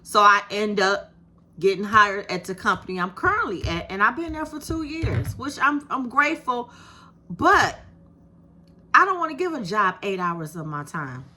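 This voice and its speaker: A woman in her fifties with a soft voice, talking straight to her viewers in a casual, encouraging way and calling them "baby". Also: mid-range voice, moderate pace. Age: 50s. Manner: animated, encouraging, talks to viewers with "baby" and "you hear me". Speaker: YouTube creator. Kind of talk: casual direct-to-camera talk.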